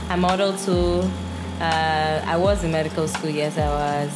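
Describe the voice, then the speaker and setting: A woman in her twenties from Ghana, a podcast guest speaking in a soft-spoken, easygoing way. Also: deep voice, little pitch movement, loud music behind the voice, rough recording. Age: 20s. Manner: agreeable, soft-spoken, quick short replies. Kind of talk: casual chat.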